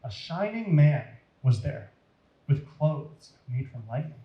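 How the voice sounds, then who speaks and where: A man's voice: mid-range voice, sing-song, slow pace, echoey room, dull audio. A man with an American accent reading expressively and explaining things simply as a children's storyteller.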